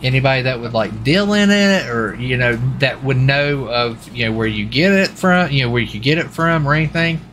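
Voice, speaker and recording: Casting: male, mid-pitched, informal roleplay cop with rambling, halting questions, slight background noise. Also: fairly bright tone. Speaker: streamer playing a police marshal in roleplay. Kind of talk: improvised in-game police roleplay, casual questioning.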